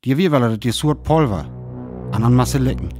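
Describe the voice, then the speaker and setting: A man's voice: medium pitch, normal speed, over music, lightly acted. A man playing a weary survivor soberly recounting his lonely wandering in a radio play, with a North Frisian accent. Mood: sober, tense. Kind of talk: radio play narration and dialogue.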